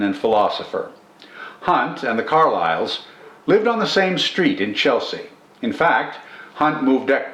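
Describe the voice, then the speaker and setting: An older man presenting poetry in a formal, measured, scripted style, enunciating carefully. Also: on the low side, a bit bright. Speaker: host of a poetry reading channel. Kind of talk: scripted literary commentary and poetry recitation. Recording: roomy indoor echo.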